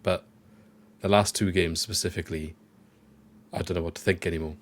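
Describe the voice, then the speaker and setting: A man hosting a casual football podcast, his voice croaky from illness, talking conversationally with frequent restarts. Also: deep voice, steady tone, brisk. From Wales. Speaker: podcast host.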